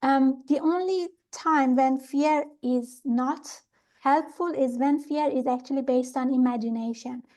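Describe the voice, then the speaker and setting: A middle-aged woman from Iran calmly explaining pranic healing ideas in an interview, pausing often with fillers and restarts. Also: sits high. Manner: calm, thoughtful, with many fillers and restarts.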